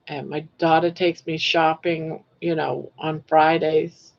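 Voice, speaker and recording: An older woman from Maine with a southern Maine accent, hosting a casual livestream chat while reading viewer comments in a rambling, self-correcting way with soft trailing endings. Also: deep voice, little pitch movement, slow, creaky, dull audio. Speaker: livestream host.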